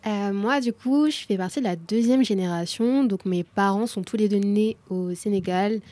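A woman's voice: medium pitch, moderate pace, mellow, no room sound. A woman in her twenties, a guest talking casually in conversation. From France. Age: 20s. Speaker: guest, social media content creator.

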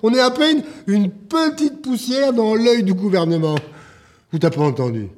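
An actor playing a bitter, despairing man of the jazz club world in a drama, husky, sarcastic and theatrical. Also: mid-range voice, expressive, darkish tone. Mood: angry, despairing. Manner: sarcastic, theatrical, halting bursts. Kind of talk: naturalistic drama dialogue.

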